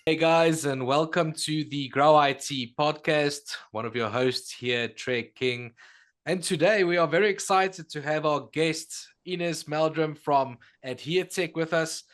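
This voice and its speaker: A man's voice: on the high side, a little slow, a bit bright. A man hosting a podcast interview, polite and conversational, often hesitating and rephrasing as he puts his questions. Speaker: podcast co-host.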